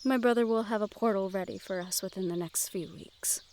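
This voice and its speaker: A woman acts out a young noblewoman of a fantasy realm, gentle and curious, in an audio roleplay. Her voice sits at a medium pitch. She addresses the listener in formal, measured sentences, with birdsong and garden ambience behind her. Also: a little hiss or hum, lightly acted. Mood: friendly, curious. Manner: formal, measured phrasing with few contractions. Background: outdoor garden ambience with birdsong.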